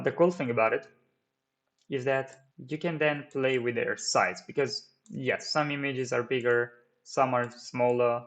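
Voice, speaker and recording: Slow-talking Romanian man teaching software. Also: mid-range voice. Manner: halting, many fillers and restarts. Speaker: 3D modelling tutor. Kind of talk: screen-recorded software tutorial.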